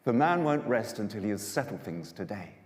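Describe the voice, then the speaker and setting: A man's voice: fairly low pitch, big pitch swings, fairly quick. A man voicing Naomi, patient elderly mother-in-law, echoey. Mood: calm, reassuring. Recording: echoey hall with long reverb.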